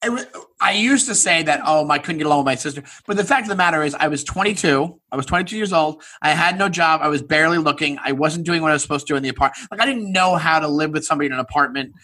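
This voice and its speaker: Husky, bright-voiced American man telling stories. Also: a little high, very fast. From Long Island, New York, United States. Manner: rambling, self-deprecating. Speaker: podcast guest, stand-up comedian. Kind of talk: casual comic storytelling.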